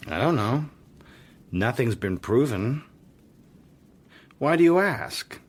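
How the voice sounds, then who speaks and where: An actor playing an urbane married man in a radio drama, a courteous host who talks casually and politely while quietly probing. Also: mid-range voice, sing-song, slow, full character voice. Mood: hospitable, guarded. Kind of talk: naturalistic radio drama dialogue. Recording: clearly noisy background ambience.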